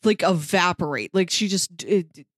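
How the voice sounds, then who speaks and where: A woman's voice: fairly low pitch, moderate pace, no room sound, clean studio sound. A woman co-hosting a podcast, casually retelling and discussing a story in loose, conversational speech peppered with filler words. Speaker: podcast co-host.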